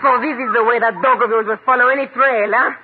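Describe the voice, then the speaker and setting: An actress playing a cold, scornful foreign villainess in a 1950s radio drama, commanding, with formal phrasing. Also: female voice, a little high, steady tone, fast talker, close to an everyday voice. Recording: very noisy, tinny old radio recording.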